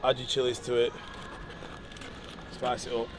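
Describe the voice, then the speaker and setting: A man's voice: mid-range voice, completely flat delivery, measured pace, background noise. A young man vlogging casually in a supermarket, cheeky and jokey, with a bit of swearing. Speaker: bodybuilder vlogger. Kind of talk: casual vlog walkthrough.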